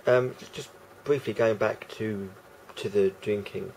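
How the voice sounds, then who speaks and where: A man with a croaky voice talking casually to camera as a vlogger, rambling and hesitant with many fillers and restarts. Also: fairly low pitch, measured pace, darkish tone, a little hiss or hum, poor audio.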